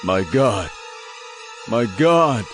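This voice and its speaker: A man acts a dying, anguished holy man in a Bible drama. His words come very slowly with wide swings of pitch, over music. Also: big pitch swings.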